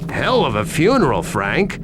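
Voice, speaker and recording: An actor playing a sarcastic, chatty interrogator in a video game. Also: male voice, medium pitch, wildly swooping pitch, a little slow, heavy background noise. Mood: mocking. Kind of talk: video game cutscene acting.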